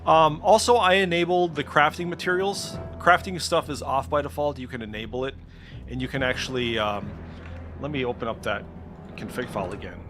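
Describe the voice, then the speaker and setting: A man's voice: mid-range voice, brisk, over music. A man giving an informal, unscripted tech walkthrough, hesitant and thinking aloud, with the odd mild swear. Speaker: tech video creator. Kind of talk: casual unscripted screen-share walkthrough. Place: indoors at a computer.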